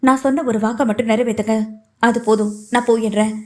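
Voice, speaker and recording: A woman's voice: fairly high pitch, steady tone, brisk, a little mellow, natural voice. Woman voicing a defiant young widow.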